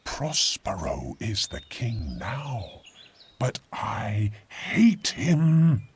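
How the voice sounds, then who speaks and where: A man voicing a servile, bitter island monster in a storybook, with slow, drawn-out words. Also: lowish voice, very animated, a little hiss or hum, heavily acted. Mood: scheming. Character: strange fishy island monster, servile, bitter, urging murder. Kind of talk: storybook character voice.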